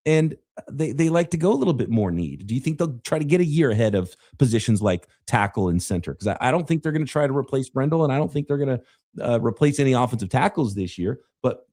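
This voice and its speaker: A man hosting a live podcast about the draft, slightly raspy as he fights off a cough, talking casually while he runs a draft simulator. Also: medium pitch, lively intonation, fast talker, dark tone. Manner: casual, thinking aloud while running a draft sim. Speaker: podcast host.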